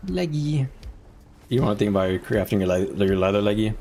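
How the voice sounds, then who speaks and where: A man's voice: lowish voice, lively intonation, brisk, mellow, a little hiss or hum. A man who streams games, giving casual live commentary and rambling as he thinks aloud. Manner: rambling, thinking aloud, often clearing throat. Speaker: game streamer.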